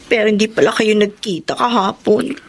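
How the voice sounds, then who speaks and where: A woman's voice: medium pitch, moderate pace, vocal fry, husky. An actress with a Filipino accent playing a young, understanding and trusting girlfriend in a radio drama. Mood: hurt, grateful. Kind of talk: Tagalog radio drama acting.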